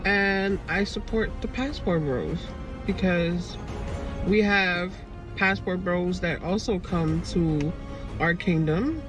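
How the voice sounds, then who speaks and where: A woman from Tonga making a short social media statement in a slow, plain way. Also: fairly low pitch, far from the mic, over music.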